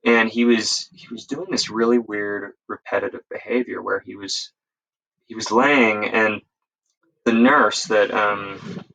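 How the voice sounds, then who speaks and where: A man casually telling work stories as a guest, in a halting, informal way and often correcting himself. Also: fairly low pitch, fairly even intonation, vocal fry, sharp tone, a little hiss or hum, low-quality recording. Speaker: guest, emergency medical worker sharing stories. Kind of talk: casual storytelling.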